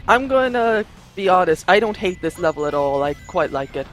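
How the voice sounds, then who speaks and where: A man's voice: sits high, lively intonation, normal speed, a bit bright. A man giving casual, conversational commentary on a video game. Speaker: let's play commentator. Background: game music.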